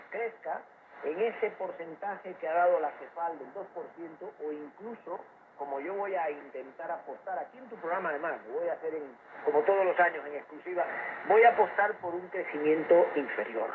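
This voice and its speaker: A man's voice: on the high side, fairly quick. A man giving explanatory, opinionated economic commentary as an expert guest in a radio interview, heard over shortwave radio. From Cuba. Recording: noisy shortwave radio capture, narrow phone-like band. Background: loud shortwave static and noise.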